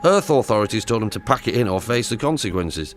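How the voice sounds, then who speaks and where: A man's voice: fairly low pitch, big pitch swings, fast talker. A suspicious, sardonic working man of the future in an audio drama. He speaks bluntly and colloquially, with very varied intonation over light background music. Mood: scornful, explaining. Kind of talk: science-fiction audio drama.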